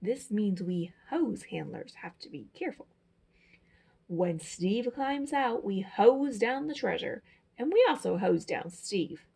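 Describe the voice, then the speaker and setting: Expressive, slightly husky woman reading a storybook. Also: medium pitch, a little slow. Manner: dramatic, emphatic phrasing. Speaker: storyteller, teacher. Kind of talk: expressive children's picture book read-aloud.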